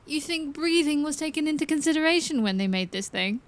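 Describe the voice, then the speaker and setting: Woman as a sharp-tongued young princess, high voice, cheeky, fantasy audio drama. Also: expressive. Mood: sarcastic. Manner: quick-witted, cheeky.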